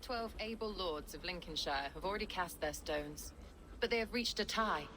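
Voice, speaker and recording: A woman playing a composed, pious abbess in a video game, speaking in a measured, formal manner. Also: fairly low pitch, a bit bright, slight background noise, close to an everyday voice, low-quality recording. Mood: solemn, formal. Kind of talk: video game cutscene acting.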